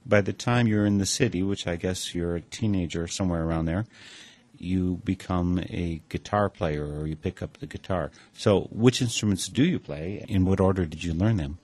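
A man hosting a radio interview, reading a smooth scripted introduction and then asking plain questions. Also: sits low, moderate pace, a little mellow. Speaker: radio host.

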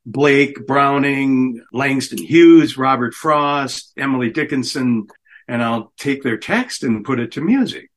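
A middle-aged man talking in a relaxed, chatty way as a musician guest on a radio show. His voice sits at an ordinary middle pitch, and he laughs easily as he tells his stories. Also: slow pace. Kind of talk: casual radio interview chat.

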